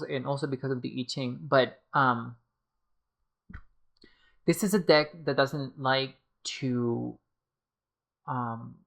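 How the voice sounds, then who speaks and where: Woman, casual review, hesitant, many fillers, losing her voice, creaky fry. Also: very deep voice. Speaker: tarot deck reviewer.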